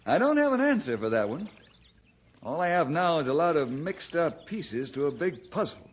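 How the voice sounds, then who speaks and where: An actor playing a steady, thoughtful, fatherly ranger in an old-time radio drama, folksy and reassuring. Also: a little high, normal speed, slightly rough. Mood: assured.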